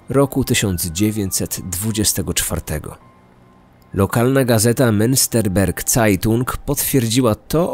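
A man delivering scripted documentary narration as the host of a true-crime podcast. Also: lowish voice.

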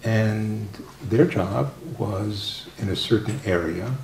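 An older man speaking softly as a guest on a discussion panel, halting with many fillers and restarts. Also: fairly low pitch. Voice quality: soft-spoken. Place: formal room with audience. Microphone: table microphone. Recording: roomy, distant mic, faint noise.

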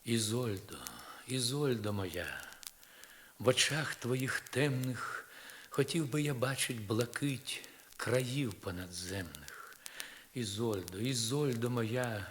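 A man's voice: mid-range voice, expressive, slow, a bit bright. A man reciting dramatic verse as a melancholy, ardent knight in love, yearning and solemn, on a crackly vinyl record.

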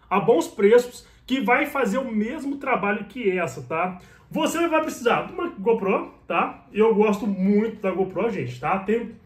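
A man in his thirties with a Brazilian Portuguese accent, chatting to the camera about his riding gear and checking in with the viewer after nearly every sentence. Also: high voice. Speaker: motovlogger. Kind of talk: casual gear tutorial to camera. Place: small bedroom, indoors. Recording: echoey bare room.

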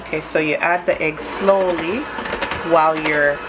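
A woman's voice: low voice, steady tone, a little slow. A woman baking at home, talking casually through each step of a recipe with chatty restarts, filmed from above her kitchen counter. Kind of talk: casual cooking demonstration. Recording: loud hand mixer noise.

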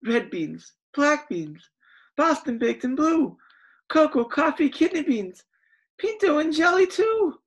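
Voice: very high voice, expressive, moderate pace, creaky, few pauses, a bit bright, pitched above the performer's own voice, exaggerated voice. A storyteller voicing the smallest male chihuahua, laid-back and chatty with a sing-song lilt. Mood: chattery. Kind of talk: read-aloud storybook voice.

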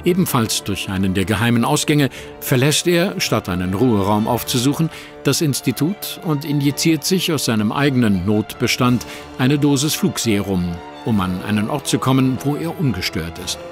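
A man narrating a German radio play in a steady, dramatic tone. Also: medium pitch, normal speed, music in the background.

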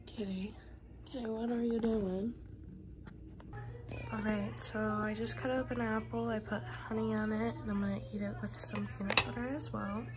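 A woman's voice: a little high, fairly even intonation, moderate pace, noisy background. Congested young woman vlogging. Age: 20s. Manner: whispering softly. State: congested, scratchy throat from a cold. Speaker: vlogger, college student. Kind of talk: casual vlog chat to camera. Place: small room at home, indoors. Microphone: phone camera held close.

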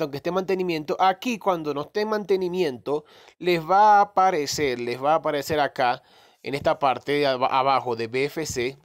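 Rambling Spanish-speaking man, fairly high voice. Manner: rambling, self-correcting, mild swearing. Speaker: crypto gaming youtuber. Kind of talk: casual screen-recorded tutorial. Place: voice-over on a phone screen recording.

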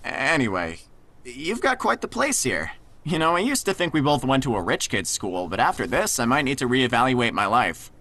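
A man's voice: mid-range voice, big pitch swings, moderate pace, sharp tone, heavily acted. A man playing an easygoing, concerned teenage boy with a casual, tentative way of talking in an audio drama. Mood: casual, teasing. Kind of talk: naturalistic audio drama acting.

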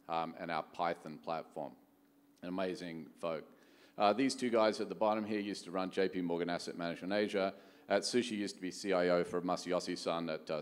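A man's voice: low voice, clean tone. A middle-aged man gives a conference keynote in a conversational manner, often posing rhetorical questions. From Australia. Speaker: venture capital executive, keynote speaker. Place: large conference hall stage. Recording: echoey hall sound, long reverb.

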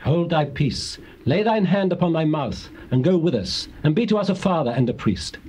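Pushy ancient tribesman played by a man, commanding Bible drama, raised pitch, faint hiss. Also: lively intonation, moderate pace, a little mellow, close to an everyday voice. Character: coercive, persuasive male Danite tribesman. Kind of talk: dramatized King James Bible acting. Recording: hissy old cassette dramatization.

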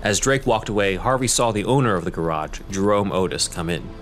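A man narrating an audio drama in a measured, scripted storytelling manner. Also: fairly low pitch, faint music behind.

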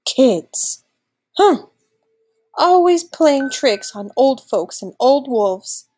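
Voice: mid-range voice, sing-song, a little slow, darkish tone, husky. A woman voicing a cunning, grumbling old male wolf in a storybook. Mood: grumpy.

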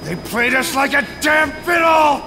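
An actor playing a betrayed, furious soldier in a video game, shouting explosively and accusingly. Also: male voice, very high-pitched, expressive, measured pace, background noise, heavily acted. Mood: enraged, betrayed. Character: furious, betrayed male soldier raging at enemies. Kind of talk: intense video game cutscene acting. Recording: very loud music behind.

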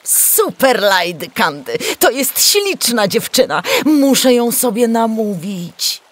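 Woman putting on a high-pitched, sly young water demon. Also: sing-song, slow, exaggerated voice. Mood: smitten, scheming. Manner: boastful, evasive.

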